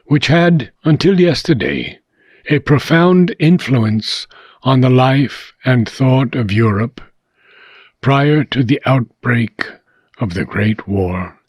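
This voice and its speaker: A man reading a philosophical book aloud in a measured, deliberate way, pausing between short phrases, with a husky voice. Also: medium pitch, no room sound. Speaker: audiobook reader.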